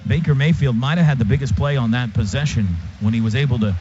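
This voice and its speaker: A man calling live football play-by-play on radio, with an excited running description of the action. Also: medium pitch, fast. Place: stadium radio booth. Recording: dull radio sound over loud stadium noise. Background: loud crowd and stadium noise.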